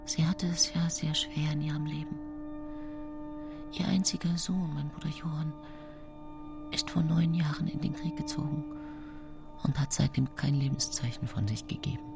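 Casting: scheming young-century widow, radio drama monologue; low register, hushed confiding delivery, sensual and pleased with herself, clear music bed. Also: female voice, animated, normal speed, long pauses. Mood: subdued. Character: scheming early-19th-century German widow, sensual and self-satisfied. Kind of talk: radio drama monologue, intimate first-person narration.

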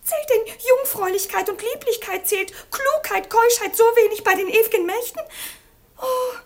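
A woman's voice: very high-pitched, normal speed. An actress playing a cruel, haughty young princess in a radio play, commanding and theatrical in her delivery. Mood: anguished lament. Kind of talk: classic German radio play acting.

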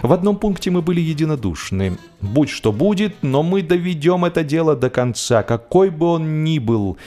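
A man narrating a humorous novel expressively, with a theatrical, deliberate delivery that draws out phrases for drama. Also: on the high side, big pitch swings, dark tone, soft background music. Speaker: audiobook narrator.

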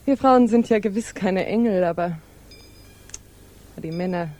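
An actress as a dark-voiced, worldly, sardonic lady. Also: medium pitch, lightly acted. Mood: bitter, scornful. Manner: measured, ironic, drawing out key words. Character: worldly, bitter married lady, knowing and sardonic. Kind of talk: 1950s German radio drama acting.

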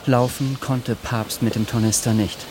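An actor narrating a sober wartime story in a scripted radio drama. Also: male voice, mid-range voice, steady tone, normal speed, close to an everyday voice. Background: dramatic music.

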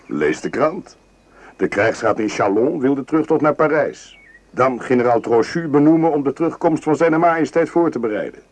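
An actor playing a confident, worldly French imperial guard lieutenant in a radio play, jovial and self-assured. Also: male voice, medium pitch, lively intonation, normal speed, lightly acted. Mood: confiding, grave.

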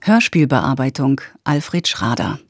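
A woman's voice: extremely low pitch, slow, slightly rough, no echo, faint music behind. A woman formally reading the closing credits of a radio play. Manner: formal, even announcing. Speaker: announcer. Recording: dry studio.

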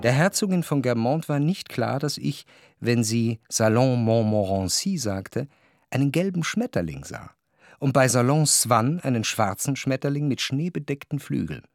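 An actor playing a reflective, wryly observant narrator in a literary radio drama, speaking in a measured, articulate way. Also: male voice, mid-range voice, expressive, dry recording, studio quality, close to an everyday voice. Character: reflective, observant male narrator of society life. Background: faint music.

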